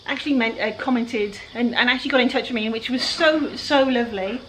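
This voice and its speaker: A woman with a croaky, raspy voice, chatting casually while she works through a furniture project. She sounds a little breathless and often lets her sentences trail off quietly. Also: a little high, brisk, a little hiss or hum, poor audio. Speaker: furniture flipper. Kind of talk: casual how-to chat while working.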